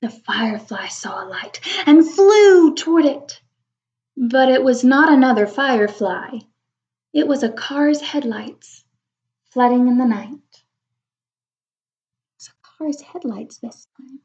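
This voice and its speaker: A woman reading a children's picture book aloud, with expressive, wondering asides as if talking to young children. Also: high voice, takes it slowly, pauses often. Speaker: read-aloud storyteller. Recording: dull lo-fi recording.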